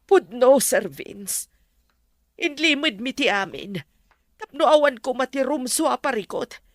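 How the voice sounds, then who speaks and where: An actress playing a frank household confidante in a drama, explaining firmly and with reproach. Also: female voice, high voice, expressive, unhurried, no echo, studio quality. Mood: solemn, confessing. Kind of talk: drama dialogue.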